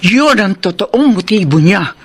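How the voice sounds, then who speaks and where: Bitter older mother, swinging pitch. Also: lively intonation, unhurried, heavy background noise. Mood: alarmed suspicion. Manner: emotional, accusatory, agitated. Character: bitter, accusing grandmother grieving her son. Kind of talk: Filipino radio drama acting.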